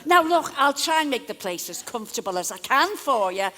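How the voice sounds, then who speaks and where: Bossy working-class mother, high sharp voice, brisk kindly manner, stage play, echoey hall. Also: sing-song, exaggerated voice. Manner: bossy, colloquial, calling out.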